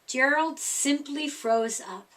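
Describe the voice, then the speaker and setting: A woman reading a rhyming children's picture book aloud, expressively, in a deliberate sing-song delivery. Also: high-pitched, a bit bright, far from the mic, a little hiss or hum.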